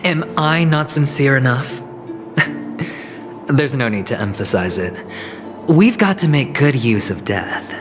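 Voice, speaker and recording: A smooth young male gambler in a video game, sly and calculating, his voice rising and falling freely. He talks over steady game music. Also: mid-range voice, slow pace, pauses often. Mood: scheming. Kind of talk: game voice acting. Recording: game audio with music behind.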